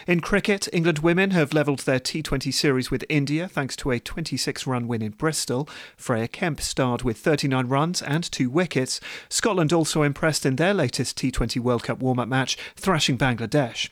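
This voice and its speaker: Brief: male sports newsreader, brisk scripted bulletin, middle pitch, dry studio sound. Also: no echo. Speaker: sports news reader. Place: radio studio.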